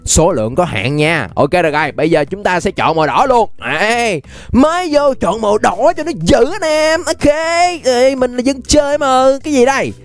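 A playful, chatty young man giving animated gameplay commentary straight to his viewers. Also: very high voice, moderate pace. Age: young adult. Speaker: gaming video host. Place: indoor gaming setup. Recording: music behind the voice. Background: music.

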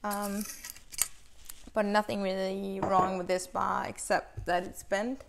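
Young woman, mid-pitch, gravelly fry, casual hands-on instructor, small workshop, close camera mic. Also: slow pace, background noise. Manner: relaxed, practical, explains while handling tools. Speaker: chainsaw instructor. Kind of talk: casual how-to demonstration. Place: small bright workshop indoors.

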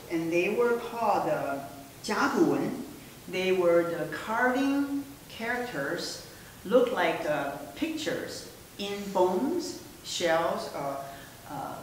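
A woman, presenting as a museum director in a friendly, gesturing way with many fillers. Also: mid-range voice. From Taiwan. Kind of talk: casual gallery presentation. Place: indoor museum room. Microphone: far camera mic. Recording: echoey room, mic far off, faint hiss.

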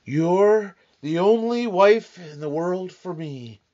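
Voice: mid-range voice, lively intonation, slow, a little mellow. An actor playing a weary, sarcastic, penny-pinching husband in an old-time radio comedy, grumbling and blustering wryly. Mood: sardonic. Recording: dull, lo-fi band-limited sound.

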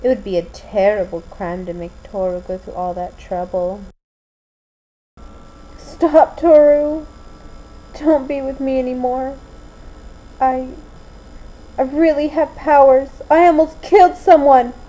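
A woman voicing a gloomy, solemn teenage anime schoolgirl with eerie powers, speaking deliberately in an amateur dub audition. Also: sits high, fairly even intonation, mellow, low-quality recording. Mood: sad, pleading. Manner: slow, deliberate, solemn delivery. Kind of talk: anime fan dub audition, acted lines.

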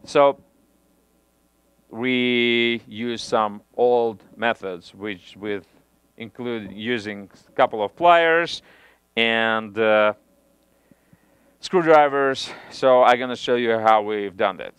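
A man in his thirties demonstrating audio gear in a small home studio, talking through the steps in halting phrasing with frequent restarts, on a headset mic. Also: medium pitch, fairly bright tone, clean tone, no room sound. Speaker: audio equipment reviewer. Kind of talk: hands-on equipment demonstration.

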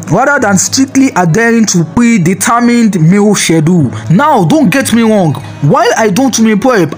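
A man with a high voice narrates a scripted health video as its host. He reads evenly from a script, sometimes with a sing-song lilt. Faint music plays under his voice-over. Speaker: channel host.

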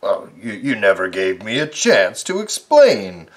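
A man voicing a kind, modest male badger who speaks low and mild, with stammers. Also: huge pitch swings, measured pace, heavily acted. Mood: sheepish.

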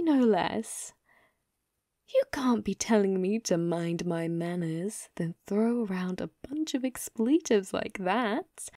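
A woman playing a young, possessive and sadistic yandere captor in an ASMR roleplay, taunting in a mockingly sweet, breathy, cooing way. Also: mid-range voice, mellow, no room sound, clean studio sound. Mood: mocking amusement. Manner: taunting, teasing, cooing, breathy drops to whisper.

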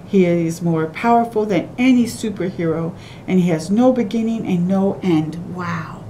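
Mellow-voiced woman reading to small children. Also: rough recording. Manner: slow, simple sing-song phrasing addressed to small children. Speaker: children's storytime reader. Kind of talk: read-aloud of a children's picture book with asides. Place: small room at home, by a window. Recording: clear background noise with low rumble.

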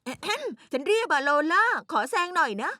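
A woman voicing a rough, confident, boisterous tomboyish kid in a Thai cartoon dub. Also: very high voice, animated, brisk, sharp tone, slight background noise, exaggerated voice. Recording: music behind the voice.

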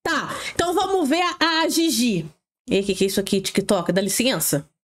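A young woman streaming from home, reacting with blunt, sarcastic commentary and quick asides. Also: on the high side. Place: home streaming room, seated in gaming chair.

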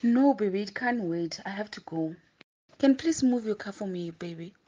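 A woman's voice: mid-range voice, animated, normal speed, warm tone, dull audio, close to an everyday voice. A woman playing an anxious but determined wife in an audio drama. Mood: urgent, insistent.

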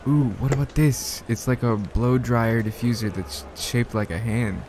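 A man acting a shy, smitten young man in an ASMR roleplay, speaking softly and hesitantly with bashful stammers. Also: medium pitch, a little slow, noisy background, natural voice. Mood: eager, helpful. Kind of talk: ASMR roleplay, soft one-sided conversational acting.

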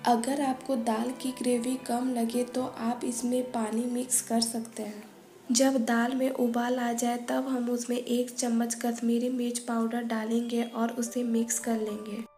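Calm woman narrating a home recipe. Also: fairly high pitch, a little slow. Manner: calm, methodical, listing each step and ingredient. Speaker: cooking channel host. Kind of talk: step-by-step recipe voice-over. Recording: echoey room voice-over with faint music.

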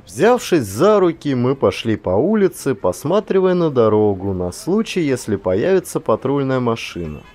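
A man's voice: medium pitch, sing-song, normal speed, few pauses, a little mellow. A man reading a first-person audiobook thriller as a dramatic scripted narration.

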